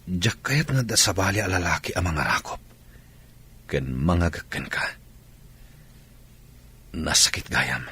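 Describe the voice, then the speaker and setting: A man acting in a radio drama as a possessive, remorseful husband, earnest and insistent, with a deep raspy voice. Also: sing-song, unhurried, pauses often, pushed and bright, heavily acted. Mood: remorseful, pleading. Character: possessive, remorseful husband pleading with his wife.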